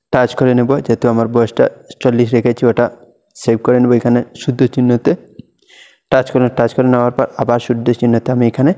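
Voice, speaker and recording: A young man talking quickly through a phone app tutorial, narrating every tap and repeating each step. His voice is mellow and dark, sitting at an ordinary pitch. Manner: steady step-by-step instructions, much repetition. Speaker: tutorial host. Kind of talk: screen-recorded app tutorial.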